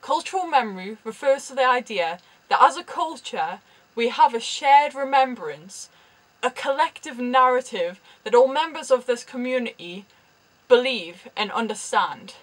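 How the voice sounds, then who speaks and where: A woman's voice: fairly high pitch, lively intonation, moderate pace, rough recording. A young woman from Newcastle with a Geordie accent, a university student explaining her research in a chatty vlog with dry humorous asides. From Newcastle, England.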